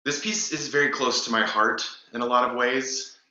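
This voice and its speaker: Bright-voiced young man, echoey room. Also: medium pitch, steady tone, normal speed. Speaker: playwright. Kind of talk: spoken introduction to own play. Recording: dull, echoey room sound.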